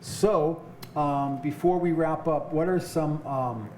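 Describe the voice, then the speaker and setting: A man hosting a live studio talk show, talking haltingly with many fillers and restarts. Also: a little high, darkish tone, a little hiss or hum. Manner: halting, full of fillers and repeated starts. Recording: echoey studio.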